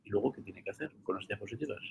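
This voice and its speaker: A man's voice: lowish voice, rapid-fire, vocal fry. Male moderator, conversational, some restarts, video call with faint noise. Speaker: moderator, course host. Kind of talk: introducing a guest and asking questions.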